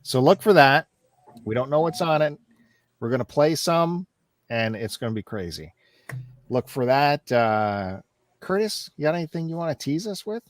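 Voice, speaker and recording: A man's voice: a little high, very animated, normal speed, dry recording. A man hosting a casual live-stream chat, upbeat and teasing what is coming up on the show. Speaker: host of a collectors' live chat.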